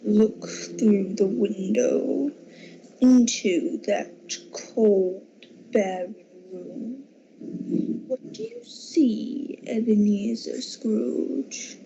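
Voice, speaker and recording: A teenage actress playing a calm, solemn, otherworldly spirit in a radio drama, with a breathy, creaky voice. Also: female voice, mid-range voice, extremely slow, a little hiss or hum, full character voice. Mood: grave. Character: calm, solemn, otherworldly ghost of Christmas past. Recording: dull lo-fi amateur recording.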